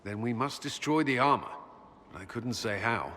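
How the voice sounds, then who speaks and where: An actor playing a stern, troubled military commander and former templar, speaking in a grave, measured, earnest way in a video game. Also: male voice, medium pitch, lively intonation, pushed and bright, long reverb tail, a little hiss or hum. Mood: resolved, wary. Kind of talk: video game dramatic acting.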